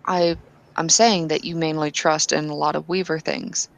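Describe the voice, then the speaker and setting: A woman's voice: low voice, fairly even intonation, moderate pace, creaky. A woman playing a tabletop roleplaying game, speaking in character, earnestly and with some hesitant restarts.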